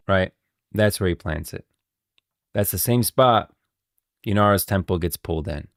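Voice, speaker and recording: A man's voice: sits low, measured pace, no echo, clean studio sound. A man streaming game lore commentary, mixing read-out script with casual, emphatic explanation that repeats key phrases. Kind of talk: casual analytical commentary, reading script and ad-libbing.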